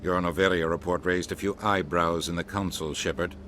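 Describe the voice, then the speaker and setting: An actor playing an irritable ambassador in a science fiction game, scolding with heavy sarcasm. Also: male voice, deep voice, fairly even intonation, a little fast, fairly bright tone, slight background noise. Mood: disapproving.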